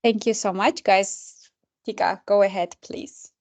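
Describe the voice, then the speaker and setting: A woman hosts an online mentorship session at a slow, unhurried pace. She talks hesitantly and fills her pauses with many filler sounds as she addresses the participants. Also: mid-range voice, steady tone.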